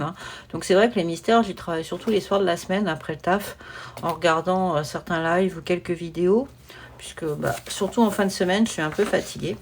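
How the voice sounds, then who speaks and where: A woman's voice: medium pitch, a little mellow. A woman chatting informally and easygoingly as she presents a hobby vlog from her desk. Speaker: hobby vlogger presenting her coloring projects. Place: at a desk at home. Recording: noisy home recording with clicks.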